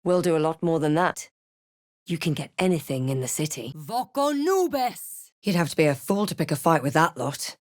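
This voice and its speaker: A woman playing a snarky, brave adventurer spellcaster in a fantasy video game, speaking in a theatrical, wry and deliberate way. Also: sits low, expressive, no echo, studio quality. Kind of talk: video game voice lines, dramatic narration and spell chants.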